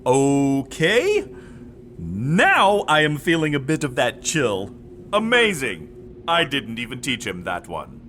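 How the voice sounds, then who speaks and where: A man's voice: mid-range voice, huge pitch swings, slow, noisy background. An actor playing a pompous, fussy man in an audio drama, with formal, precise, haughty speech. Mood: amused. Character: pompous, fussy male museum staffer, Edmund.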